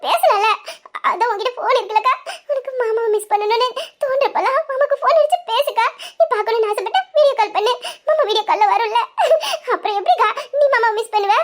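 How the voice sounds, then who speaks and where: A woman's voice: extremely high pitch, quick pace. A woman voicing a caring younger sister who coaxes and comforts her older sibling. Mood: comforting. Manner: soothing, coaxing. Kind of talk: dramatized audio story.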